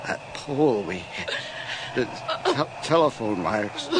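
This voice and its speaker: An actor playing a desperate injured man in a radio drama, weak, halting and strained with pain. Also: medium pitch, huge pitch swings. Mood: weak, urgent. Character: badly hurt, desperate man trapped in wreck. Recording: very noisy vintage radio drama.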